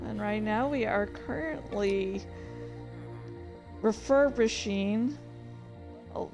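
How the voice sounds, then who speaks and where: A woman's voice: medium pitch, takes it slowly, music in the background. A woman hosting a livestream in casual, scattered chat, easily distracted and swearing freely. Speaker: streamer and host of a dramatic reading series. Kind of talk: casual, rambling livestream chat while playing a game.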